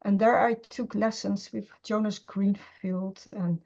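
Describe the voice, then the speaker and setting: A middle-aged woman talks as an academic guest in an interview. She hesitates often and restarts sentences, in a mellow, warm voice. The sound is dull and lo-fi, as over a video call. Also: medium pitch, fairly even intonation, unhurried, vocal fry. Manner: hesitant, many fillers and restarts. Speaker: interview guest, scholar of Semitic languages. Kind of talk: conversational interview.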